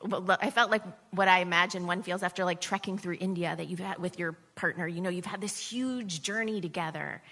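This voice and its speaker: Middle-aged american woman with a bright clear-cut voice, wry and self-deprecating, chatting as an interview guest. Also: on the low side, a little fast, few pauses. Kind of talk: conversational storytelling in a live interview.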